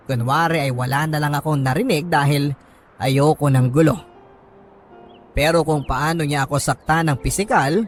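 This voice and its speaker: A Filipino man reading a first-person story as a radio host, in a measured, sentimental dramatic narration with pauses. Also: a little high. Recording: music bed under the voice. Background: background music.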